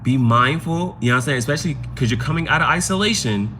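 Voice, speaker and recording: Man reading tarot over clear background noise. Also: medium pitch, expressive, quick pace, sharp tone. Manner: conversational, repeats phrases and adds "you know what I'm saying". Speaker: tarot reader hosting a live stream. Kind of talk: casual live tarot reading with advice.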